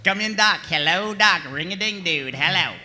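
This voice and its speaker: An actor playing a laid-back slacker dude on a military command hotline, with casual surfer slang, in a comedy table read. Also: male voice, sits high, normal speed, bright, forceful tone, slight background noise. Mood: laid-back, breezy.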